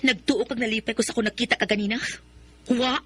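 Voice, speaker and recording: Brief: actress as a hurt young woman in a radio drama, bright voice, high-ish, emotional. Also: steady tone, quick pace, a little hiss or hum, close to an everyday voice. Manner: emotional, soft and tearful at times. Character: heartbroken young woman falling in love again.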